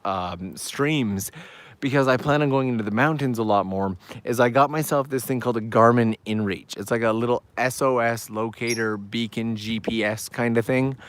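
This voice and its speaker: A man hosting a live travel stream outdoors, chatting upbeat to viewers while walking, his voice going hoarse. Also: mid-range voice, moderate pace. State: losing his voice. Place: outdoors on town backstreets at evening.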